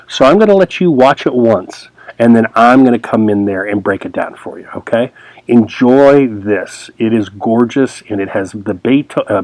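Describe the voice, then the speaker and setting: Low-voiced man giving casual film commentary. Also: darkish tone, no room sound, poor audio. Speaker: film analysis host, former teacher.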